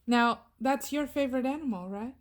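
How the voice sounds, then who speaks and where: A woman's voice: sits high, moderate pace. A woman in casual discussion, teacherly, prompting with questions and corrections.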